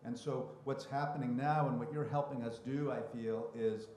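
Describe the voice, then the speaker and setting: A man's voice: medium pitch, steady tone, normal speed, a little mellow, slight background noise. A man moderating a live panel conversation as a writer, speaking in a reflective, expansive way as he thinks aloud into a microphone. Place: public library event room. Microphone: handheld or stand mic. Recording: roomy echo of a live event.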